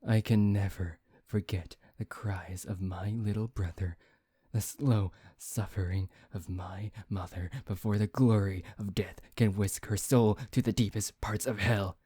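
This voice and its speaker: A man playing a brooding, taunting vampire in an ASMR roleplay, speaking in a theatrical, deliberate, teasing way. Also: lowish voice, fairly even intonation, dark tone, husky, no echo, studio quality. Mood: bitter, brooding. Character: brooding, taunting male vampire bent on revenge. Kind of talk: ASMR roleplay, soft dramatic acting.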